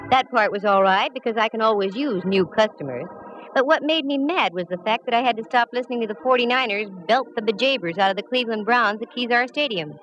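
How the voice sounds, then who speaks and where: An actress playing a tough, glamorous, wisecracking private eye with an American accent, dry and brisk, in a 1940s radio drama. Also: female voice, on the high side, fairly bright tone. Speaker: lead and narrator. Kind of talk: 1940s radio detective acting. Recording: dull, noisy 1940s radio recording.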